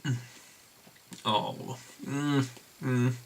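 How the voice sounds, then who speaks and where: A young Englishman reviewing a beer at home in a casual vlog, enthusiastic and rambling with plenty of fillers, his voice slightly hoarse. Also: mid-range voice, very slow. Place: home room with bookshelves, indoors.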